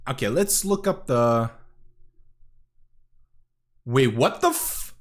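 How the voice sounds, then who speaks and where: A man's voice: medium pitch, animated, takes it slowly. A young man from Serbia, rambling through casual reaction commentary as a streamer, swearing often and correcting himself.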